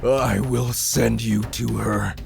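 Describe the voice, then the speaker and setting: A male narrator voicing a crazed, taunting knight boss with a gravelly, shouting voice. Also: on the low side, fairly even intonation, heavily acted. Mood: menacing. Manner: menacing, drawn-out taunts. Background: background music.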